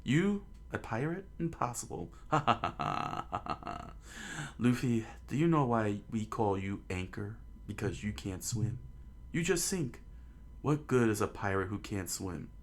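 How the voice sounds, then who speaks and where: A man's voice: lowish voice, sing-song, measured pace. A man voicing a jovial male pirate captain who teases with hearty laughter. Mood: teasing.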